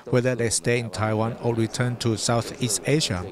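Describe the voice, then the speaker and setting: A man giving a calm, plain voice-over translation of interview answers. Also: mid-range voice, normal speed, crowd noise.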